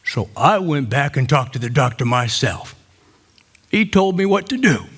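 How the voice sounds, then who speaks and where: An actor playing a chatty, cheeky and tender man in his nineties in a comic stage monologue, telling his story unhurriedly with pauses. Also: medium pitch, sing-song, fairly bright tone, slightly rough, full character voice. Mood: tender, confiding.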